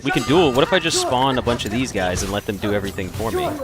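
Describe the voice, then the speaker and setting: A man's voice: medium pitch, big pitch swings, fast. A man giving let's play commentary, reacting and exclaiming as he gets hit in a boss fight. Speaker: gaming video host. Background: game music.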